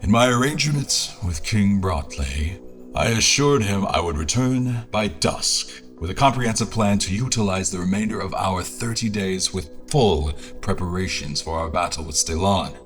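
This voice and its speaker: Deep-voiced adventurer recounting a journey. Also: male voice, expressive, normal speed, soft background music. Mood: earnest, brooding. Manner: formal, literary storytelling. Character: adventuring male narrator recounting a perilous airship expedition. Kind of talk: dramatic first-person scripted narration.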